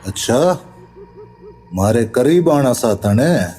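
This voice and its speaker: A man's voice: medium pitch, expressive, slow, warm tone. A man playing a fearless, sly rustic with a Rajasthani-Haryanvi accent in a horror audio drama, drawling, mocking and unruffled. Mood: teasing, mocking.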